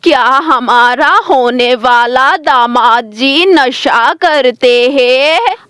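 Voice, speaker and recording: Protective, easily alarmed pigeon mother for a kids' cartoon, played by a woman: high, very unhurried, earnest. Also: fairly bright tone, clean tone, dry recording, clean studio sound, full character voice. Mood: shocked, doubtful. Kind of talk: children's animated moral story acting.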